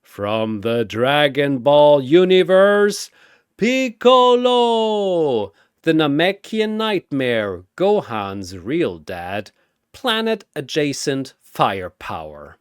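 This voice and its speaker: A man's voice: on the high side, very animated, extremely slow, clean tone, no echo, studio quality, heavily acted. A man voicing a flamboyant four-armed godlike arena announcer, a sardonic showman with a grandiose, theatrical delivery. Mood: booming, hyped. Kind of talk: ring-announcer fighter introductions.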